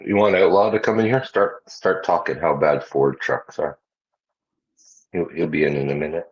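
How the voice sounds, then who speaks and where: A man's voice: a bass voice, fairly even intonation, normal speed, breathy edge, highs cut off. A man from the American South chatting on a livestream panel, teasing and swearing freely. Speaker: panel guest. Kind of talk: casual livestream chat.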